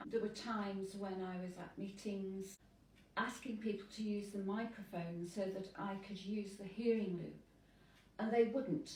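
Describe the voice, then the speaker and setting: A woman priest who lives with hearing loss, speaking earnestly and in measured phrases in an interview. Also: mid-range voice, darkish tone, roomy sound, far from the mic, slight background noise, poor audio.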